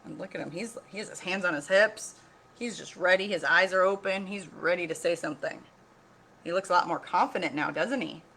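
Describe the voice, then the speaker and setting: A woman reading a picture book aloud like a teacher, pausing to explain, ask questions and repeat key points. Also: lowish voice, gravelly, a bit bright, a little hiss or hum. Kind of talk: read-aloud storytelling with explanatory asides.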